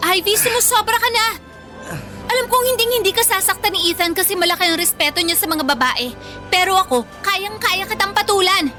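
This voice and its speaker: A woman's voice: extremely high pitch, fairly quick, bright, forceful tone, soft background music. A woman with a Filipino accent playing an earnest, plucky bullied teenage schoolgirl in a radio drama. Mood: defiant.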